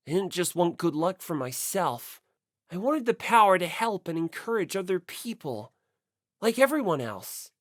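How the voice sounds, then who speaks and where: An audiobook narrator reads scripted fiction with lively, wide-moving intonation. The delivery takes its time, and the sound is close and dry, with no room to it. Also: medium pitch, no echo. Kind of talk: scripted manga and fan fiction reading.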